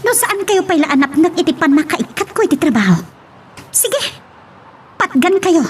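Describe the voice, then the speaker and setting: A woman's voice: very high-pitched, very animated, moderate pace, slight background noise. A woman playing a wronged, pleading young woman with an emotional, defiant manner in an audio romance drama. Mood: hurt, defiant. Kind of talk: Ilocano radio-style romance drama acting.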